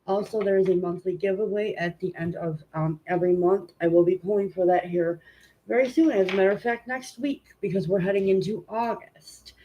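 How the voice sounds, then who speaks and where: A woman's voice: medium pitch, brisk, warm tone, lo-fi sound. Congested woman, home tarot streamer, casual and rambling, noisy audio. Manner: informal and chatty, many "um" fillers, greets viewers. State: congested, stuffy nose. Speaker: tarot reader hosting a live stream. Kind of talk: casual live-stream chat and card reading.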